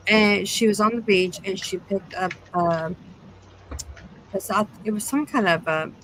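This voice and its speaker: A woman's voice: mid-range voice, unhurried, fairly bright tone, a little hiss or hum, rough recording. A woman chatting casually and conversationally as a podcast co-host.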